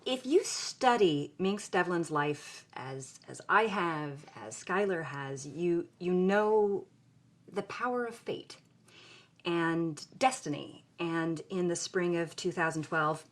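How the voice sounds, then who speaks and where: An outspoken young woman being interviewed in an audio drama. Her voice is fairly low, and she tells her story in a wry, conversational way. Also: takes it slowly. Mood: wry, candid. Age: young adult. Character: frank young woman, film grad student telling her story.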